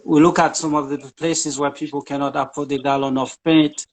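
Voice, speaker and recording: A man talks as an interview guest. His voice sits a little high for a man. He pauses often, filling gaps with "uh" and restarting his sentences. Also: level tone. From Haiti. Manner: hesitant, many fillers and restarts. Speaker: guest, charity founder. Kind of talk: casual interview talk.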